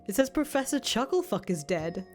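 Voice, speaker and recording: A woman's voice: fairly high pitch, sing-song, moderate pace, a little mellow. Woman acting a jaded, foul-mouthed young woman. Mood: exasperated, incredulous. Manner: blunt, sardonic, exasperated swearing. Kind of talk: voiced reading of visual novel dialogue. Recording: music playing under the voice.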